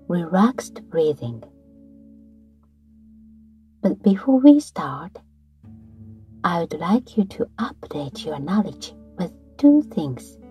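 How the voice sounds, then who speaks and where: Breathy woman talking slow and soft, half whispering, meditation guide, faint guitar behind. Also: mid-range voice.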